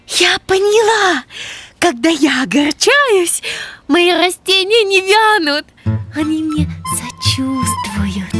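A tearful female pig from a children's cartoon. The voice is extremely high and plaintive, and it takes its time over each fretful phrase. Music plays behind it. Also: exaggerated voice. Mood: tender, touched. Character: tearful, sensitive female winged pig who dotes on plants.

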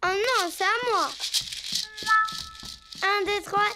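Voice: very high voice, steady tone, takes it slowly, distant mic. A performer voicing a bossy, playful little girl bear cub in a preschool cartoon, childlike and insistent. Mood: playful chanting. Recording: loud music under the voice.

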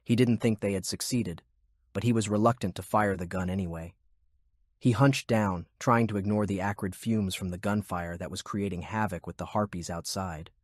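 A man with a husky voice reading scripted story narration for an audiobook. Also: sits low, fairly even intonation, normal speed, no room sound, clean studio sound.

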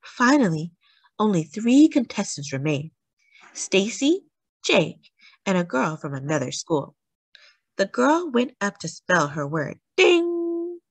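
Woman storyteller, lively swooping intonation, slightly husky, children's read-aloud, dramatic emphasis. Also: medium pitch, dry recording. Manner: deliberate, dramatic emphasis on key words. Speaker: storyteller reading a children's picture book aloud.

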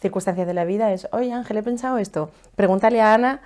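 A woman's voice: medium pitch, darkish tone. A woman in her thirties from La Mancha, thoughtfully describing her relationship in a home interview. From La Mancha, Spain. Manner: thoughtful, measured, some humming pauses. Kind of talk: personal account. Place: living room at home, indoors.

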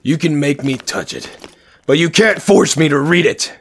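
A man's voice: a little high, normal speed, a bit bright, slight background noise. A man playing a bitter, defiant captive warrior in a sci-fi audio drama. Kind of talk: fan audio drama acting.

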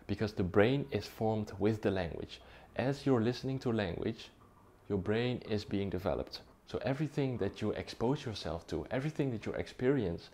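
A young Dutch-speaking man talks straight to the camera in a small, dim room, explaining things in a relaxed, chatty way. His voice sits fairly low and sounds soft and mellow, and he keeps checking in with the listener. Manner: conversational, checks in with "right?" and "okay?". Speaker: language-learning YouTuber.

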